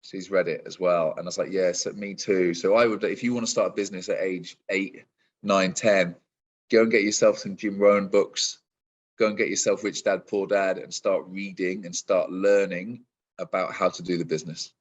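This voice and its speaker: A man in his forties, a business coach, answering interview questions in a relaxed, rambling way with many restarts over a video call. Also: low-pitched, moderate pace, slightly rough, lo-fi sound. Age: 40s.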